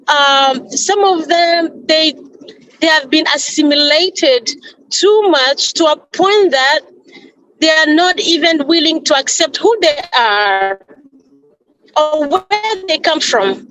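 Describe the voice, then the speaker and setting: Woman, high voice, halting earnest panel talk, dry close sound. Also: fairly bright tone, no room sound, rough recording. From Congo. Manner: earnest, halting, repeats and rephrases as she goes. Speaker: panel speaker at a peace day event. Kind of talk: earnest speech at an online panel.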